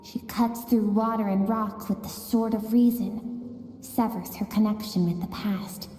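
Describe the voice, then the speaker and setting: Slow, gentle spirit woman in echoing space, acted. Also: mid-range voice, fairly even intonation, natural voice. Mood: calm, musing. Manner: soft, unhurried, musing. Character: gentle, cryptic female spirit guide dwelling in an inner world. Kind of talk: video game story voice acting. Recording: reverberant game audio with music.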